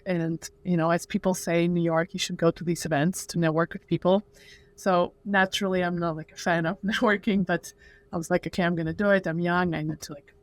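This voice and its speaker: A woman's voice: lowish voice, dry recording. Young woman chatting casually, dry podcast audio. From Uzbekistan. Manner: casual, with frequent "like" fillers. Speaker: startup cofounder, guest.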